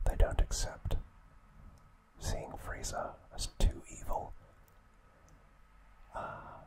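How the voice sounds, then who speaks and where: A man whispering an ASMR recap narration in short, hushed, unhurried phrases. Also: poor audio.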